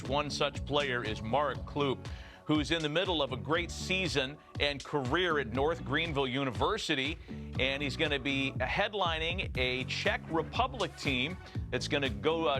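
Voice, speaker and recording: A man hosting a sports broadcast, introducing and interviewing a guest. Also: mid-range voice. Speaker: sports show host.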